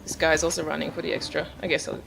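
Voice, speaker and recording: A woman giving casual, low-key commentary on her own gameplay, musing drily as she goes. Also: flat delivery, very fast, gravelly, poor audio. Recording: loud game music behind the voice. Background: game music.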